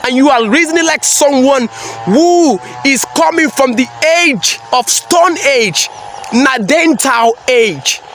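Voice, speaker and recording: A man's voice: very high voice, animated, slow pace, fairly bright tone, soft background music. A man giving a preachy, emphatic reaction commentary, repeating phrases for effect. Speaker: commentary vlogger.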